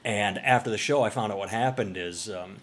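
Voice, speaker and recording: A man's voice: fairly low pitch, a little fast, a bit bright. A man co-hosting a podcast, casually telling a profane, rambling story. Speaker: podcast co-host.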